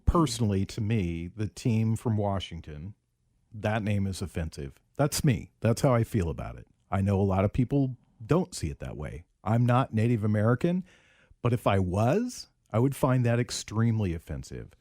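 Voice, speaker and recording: A man's voice: on the low side, very animated, moderate pace, mellow, no room sound, clean studio sound. Candid male sports radio host. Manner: candid, conversational, laughs easily. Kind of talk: casual sports talk radio. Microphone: studio microphone.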